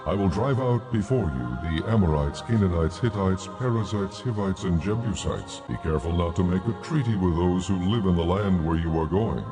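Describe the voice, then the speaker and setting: An actor playing God as a solemn, stern lawgiver in a dramatized audio Bible, speaking gravely and with deliberate authority. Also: male voice, low voice, expressive, over music. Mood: stern, commanding.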